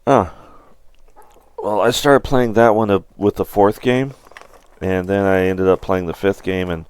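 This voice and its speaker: A middle-aged man hosting a gaming livestream, chatting casually in a rambling, halting way. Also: low voice, fairly even intonation, a little mellow, clean tone, no echo.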